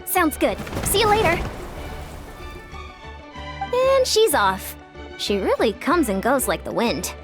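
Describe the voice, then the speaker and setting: A woman's voice: sits high, wildly swooping pitch, takes it slowly, long pauses, full character voice. A woman playing an upbeat, confident young course designer in a video game, explaining things in a self-assured way. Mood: cheerful. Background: music.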